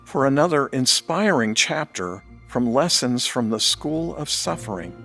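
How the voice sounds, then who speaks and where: Slow-paced male announcer over music. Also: mid-range voice, lively intonation, bright, forceful tone. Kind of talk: scripted outro announcement. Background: clear music.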